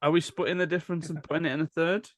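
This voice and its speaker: A man's voice: on the high side, level tone, rapid-fire. A man hosting a podcast, steering the discussion with casual banter. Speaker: podcast host running the ranking.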